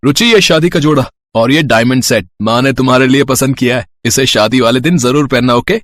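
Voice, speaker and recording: A man playing a smug, doting young bridegroom from a rich family in a dramatised story, smooth and self-assured. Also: mid-range voice, fairly quick, a bit bright, no room sound, natural voice. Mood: proudly affectionate.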